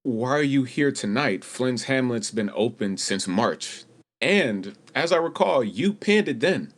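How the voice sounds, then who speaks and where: A man's voice: medium pitch, expressive, unhurried. An actor playing an earnest, plucky young male understudy in a radio play. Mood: polite, wary.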